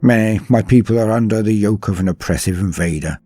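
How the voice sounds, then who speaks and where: A man acts out a resolute, world-weary noble governor in a dramatic sci-fi audio story. The voice is very low and husky, with formal, grandiose phrasing delivered in a measured way. Music plays underneath. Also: dark tone, no room sound, natural voice. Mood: grave concern.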